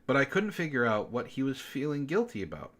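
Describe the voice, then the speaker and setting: A man's voice: medium pitch, sing-song, fairly quick, a bit bright. A man reading amateur fiction aloud expressively, breaking in with sarcastic, exasperated comments as a book club host.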